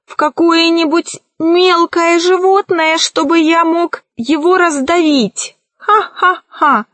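A woman's voice: extremely high pitch, fairly even intonation, takes it slowly, fairly bright tone, no room sound, pitched above the performer's own voice, full character voice. A woman voicing a jolly, mocking, cruel male villain in a children's storybook. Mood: gleefully cruel. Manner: gleeful, mocking.

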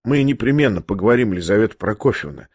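A man reading an audiobook, voicing a young man who is dying, speaking quietly and hoarsely in a weary, ironic calm. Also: fairly low pitch, dry recording, studio quality, pitched below the performer's own voice. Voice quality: hoarse, near whisper. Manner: slow, weary, faintly mocking calm.

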